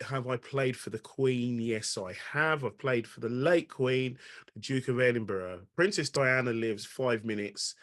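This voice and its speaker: A man in his sixties, a pianist introducing himself on a video call, friendly with stumbling restarts and many ums. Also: on the low side. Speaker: pianist and guest speaker. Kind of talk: casual self-introduction talk.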